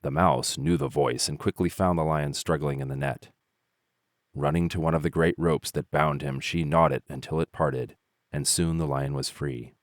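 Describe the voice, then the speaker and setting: Very deep-voiced man reading fables. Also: dry recording, clean studio sound. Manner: expressive, measured storytelling. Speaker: narrator.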